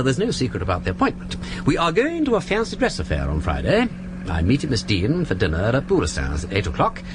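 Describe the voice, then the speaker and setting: A suave aristocratic English detective from a radio play. His upper-class voice swoops extravagantly up and down, facetious and playfully pompous. The recording has loud background noise. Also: fairly low pitch. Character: breezy, witty, aristocratic English gentleman sleuth. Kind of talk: BBC radio drama acting.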